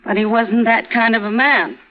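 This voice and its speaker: An actress playing a frontier saloon owner who speaks in a tender, emotional way, in a 1950s radio drama. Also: female voice, a little high, steady tone, normal speed, close to an everyday voice. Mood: hurt. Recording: lo-fi old radio recording.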